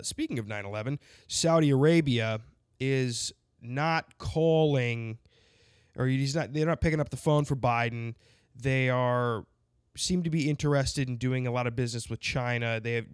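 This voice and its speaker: A man's voice: medium pitch, clean tone, dry recording, clean studio sound. A man hosting a casual podcast interview while fighting a cold and a sinus infection. He rambles and jokes sarcastically, full of fillers and restarts. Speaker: podcast host.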